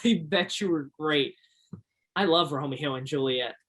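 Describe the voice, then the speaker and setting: A man's voice: a little high, a little slow, a bit bright, slight background noise. Man playing an earnest young backwoodsman. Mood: keen. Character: earnest, shy young Alaskan backwoodsman who loves Shakespeare. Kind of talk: one-act stage play acting.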